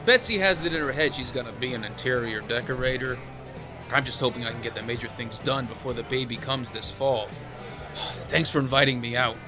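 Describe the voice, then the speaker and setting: An actor playing an easygoing, easily bewildered young father-to-be with halting delivery in an audio comedy sketch. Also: mid-range voice, over music, natural voice. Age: young adult.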